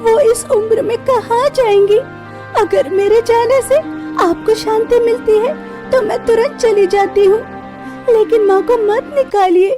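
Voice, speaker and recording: A woman's voice: extremely high pitch, a little slow. A woman playing a meek, dutiful young daughter who pleads politely in a melodramatic cartoon story. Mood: pleading. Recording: music behind the voice.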